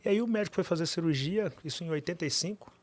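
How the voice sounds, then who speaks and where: A man, a podcast guest telling his life story with animated, emphatic repetition. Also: fairly high pitch, fast talker, dry recording. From Minas Gerais, Brazil.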